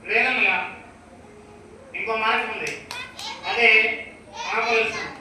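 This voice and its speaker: A man's voice: very high voice, fairly even intonation, slow. A man voicing a mischievous, childlike ventriloquist puppet that calls itself a doctor, insistent and argumentative, in a live children's puppet show. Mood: scheming. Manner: insistent, argumentative, repeats words. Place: large church hall with children's audience. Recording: echoey hall, distant mic, noisy crowd.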